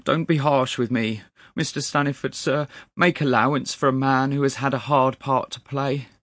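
A man's voice: medium pitch, a little slow, a bit bright, dry recording, clean studio sound, voice pushed up. A man playing an anguished, pleading loyal clerk. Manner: deferential, jerky pleading. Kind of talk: dramatic storybook character acting.

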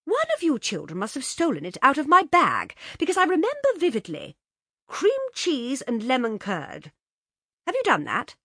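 A fussy upper-class mother in a flap, her voice jumping high as she fires off breathless orders, put on by a woman reader. The tone carries a slight huskiness and sits close and dry. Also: wildly swooping pitch, normal speed, sharp tone, breathy edge, dry recording, heavily acted. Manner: breathless, fluttering commands.

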